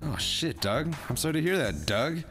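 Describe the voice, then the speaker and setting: A man's voice: fairly high pitch, big pitch swings, normal speed. A man hosting a casual, comedic movie review in a jokey way, with some theatrical announcing. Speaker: review show host. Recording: music playing behind the voice.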